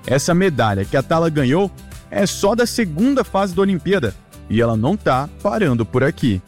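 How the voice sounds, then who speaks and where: A man's voice: fairly high pitch, sing-song, soft background music. Male reporter narrating a news piece. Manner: measured narration. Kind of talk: scripted voice-over narration.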